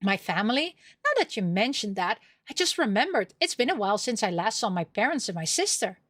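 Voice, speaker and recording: A woman's voice: medium pitch, big pitch swings, brisk, a bit bright, no echo, clean studio sound. A woman voicing an optimistic, careless young female roommate in an emotive way for a visual novel. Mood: musing. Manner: emotive, scatterbrained.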